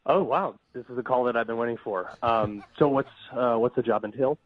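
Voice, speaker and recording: A man's voice: medium pitch, a little fast. A man talking casually over the telephone, polite and hesitant, stammering at times. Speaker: temp worker taking a phone call. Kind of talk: casual phone conversation. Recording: muffled, dry phone line.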